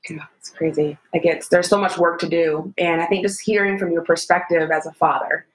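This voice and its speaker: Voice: medium pitch, steady tone, a little fast, slight background noise, rough recording. A podcast co-host opening the show in an upbeat way and prompting the guest supportively. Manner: upbeat, supportive with frequent "mm-hmm". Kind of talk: casual podcast chat.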